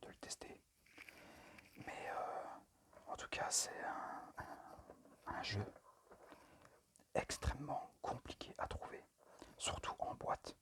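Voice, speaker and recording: A man speaks in a breathy whisper, with almost no voice behind the words. His ASMR presentation is amateur and tentative, halting often as he goes. The manner is apologetic and unpolished. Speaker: amateur ASMR video creator.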